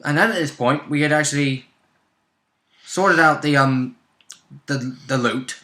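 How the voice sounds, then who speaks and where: Casual man, bright voice, roomy sound. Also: on the high side, measured pace. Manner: informal, interjecting. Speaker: player in a tabletop role-playing game. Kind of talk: casual chat, recounting game events. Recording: echoey room.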